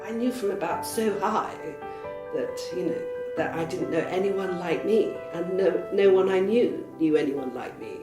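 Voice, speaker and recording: Older northern English woman, unhurried reflective delivery; roomy sound, loud music bed. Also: on the low side, expressive. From north of England. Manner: slow, measured, poetic phrasing. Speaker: interviewee and narrator. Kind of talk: reflective spoken recollection.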